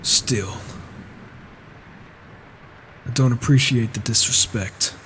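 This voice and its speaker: A man's voice: mid-range voice, moderate pace, fairly bright tone, lightly acted. A man roleplaying an impatient, proud, reclusive warrior in a video game, talking in a grumbling, questioning way. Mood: grumbling, determined. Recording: faint noise, rain behind, mic issues.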